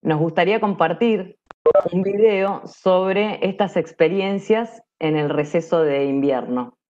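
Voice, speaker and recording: A woman in her forties with an Argentine Spanish accent, presenting online in a halting way with pauses between phrases. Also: fairly low pitch, a little mellow, a little hiss or hum. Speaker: workshop presenter. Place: indoor room at home. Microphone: wired earphones on video call. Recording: slightly dull video-call audio.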